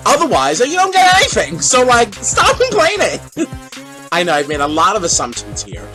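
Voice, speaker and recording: A man's voice: very high-pitched, wildly swooping pitch, normal speed, pushed and bright, faint music behind. Sassy giggly man with a cold. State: has a cold. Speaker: vlog host. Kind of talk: casual chatty vlog.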